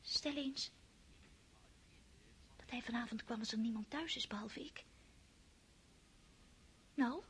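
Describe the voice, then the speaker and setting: A woman's voice: on the high side, slight background noise. An actress playing an impulsive, lovesick young girl in a radio play, often whispering her thoughts to herself. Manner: hushed whispered inner monologue. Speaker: countess's daughter.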